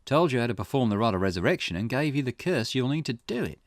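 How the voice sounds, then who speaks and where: A man's voice: mid-range voice, very animated, fast, no echo, pitched above the performer's own voice. A man voicing a dry, inquisitive, businesslike male detective in dialogue read from a visual novel. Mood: curious, probing. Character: male private investigator, wry, inquisitive and businesslike.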